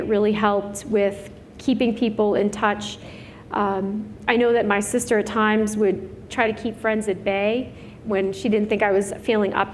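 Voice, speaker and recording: A woman's voice: mid-range voice, darkish tone, a little hiss or hum. Earnest woman speaking on a panel. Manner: earnest, halting with fillers and restarts. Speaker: panel guest. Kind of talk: candid panel conversation.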